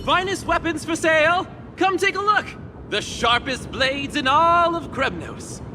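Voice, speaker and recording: A man's voice: very high voice, measured pace, sharp tone, slight background noise, full character voice. An actor playing a boisterous, fawning weapons merchant with showy salesman patter in a video game. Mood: loud hawking.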